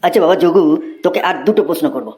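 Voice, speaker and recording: An actor voicing a theatrical, excitable schoolteacher who scolds and gushes in a comedy cartoon. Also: mid-range voice, rapid-fire, a little hiss or hum, close to an everyday voice. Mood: gushing delight. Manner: theatrical, swinging from scolding to gushing praise. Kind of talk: exaggerated Bengali cartoon comedy dubbing.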